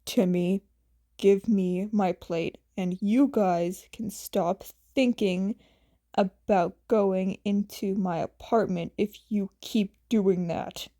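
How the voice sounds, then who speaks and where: A woman's voice: mid-range voice, fairly even intonation, takes it slowly, dark tone, voice pushed down, natural voice. A woman voicing a bossy, exasperated young man in a story, with dry, casual, slangy lines. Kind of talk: acted storybook dialogue.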